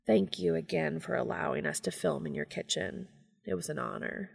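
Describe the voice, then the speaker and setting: A reader as a formal, gravelly male TV producer. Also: medium pitch. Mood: soft, sincere. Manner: formal, stilted, measured phrasing. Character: TV producer man, formal, earnest, reserved.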